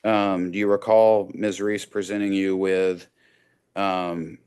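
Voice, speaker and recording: A man working as an attorney, cross-examining a witness over a video call with measured, methodical questions. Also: sits low, level tone, a little mellow, clear, resonant tone.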